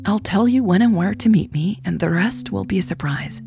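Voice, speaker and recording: A woman voicing a tender, secretive woman in an audiobook, speaking softly and breathily in a gentle, apologetic, pleading manner. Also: mid-range voice, lively intonation, brisk, faint music behind, voice pushed up. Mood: reassuring. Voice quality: soft, breathy, half-whispered. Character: Elle, tender secretive woman leaving her lover at night. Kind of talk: audiobook character dialogue.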